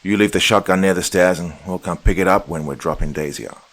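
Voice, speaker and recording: A man's voice: sits low, fast. A man voicing a casual young man making an offer. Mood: offhand.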